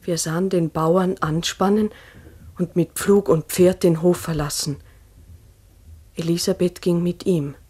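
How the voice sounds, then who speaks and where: Troubled farm wife, lowish voice. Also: female voice, fairly even intonation, a little slow, warm tone, breathy edge. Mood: grave recounting. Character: troubled farm wife recounting an eerie event. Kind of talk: 1960s German-language radio play acting.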